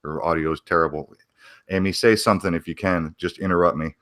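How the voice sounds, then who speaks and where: A man hosting a casual livestream with a very low-pitched voice that still sounds bright. He reads out the chat in an easygoing, halting way, full of ums. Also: steady tone, dry recording, studio quality. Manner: easygoing, hesitant, many ums. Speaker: host.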